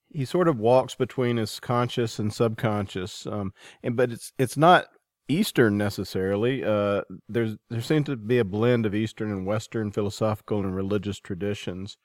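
A man with a low voice hosts a conversational interview. He phrases things thoughtfully, pausing as he goes. His sound is dry, with hardly any room around it. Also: darkish tone, no room sound. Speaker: interviewer, podcast host.

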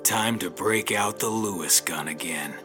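An actor playing a wry, laconic, world-weary ex-convict detective in a hardboiled audio drama. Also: male voice, low voice, a little slow, over music. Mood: grimly resolved.

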